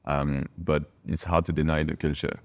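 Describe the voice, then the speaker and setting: A man's voice: rumbling low, flat delivery, moderate pace. A man from France with a French accent, a native French speaker, talking reflectively and emphatically as an interview guest. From France, a small village in Bordeaux. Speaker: podcast guest, wine bar owner.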